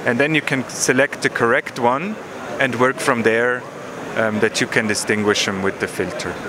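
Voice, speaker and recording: A man in his thirties or forties giving a measured technical explanation in an interview, pausing to find words, on a handheld microphone. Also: mid-range voice, steady tone. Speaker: product manager explaining a demo. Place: busy exhibition hall booth. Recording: noisy trade fair hall ambience.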